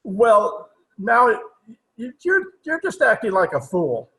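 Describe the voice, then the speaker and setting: A man's voice: high voice, wildly swooping pitch, slow pace, vocal fry, pushed and bright, full character voice. A man playing a stubborn, stuffy older judge in old-time radio comedy, with a formal, pompous way of talking. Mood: flustered, defiant. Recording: online video-call recording.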